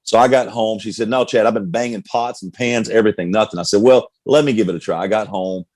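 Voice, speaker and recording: A man's voice: fairly low pitch, fast, a little mellow, dry recording. A middle-aged man, a pastor, telling his life story candidly in conversation, with frequent restarts. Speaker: pastor, guest. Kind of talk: personal testimony in conversation.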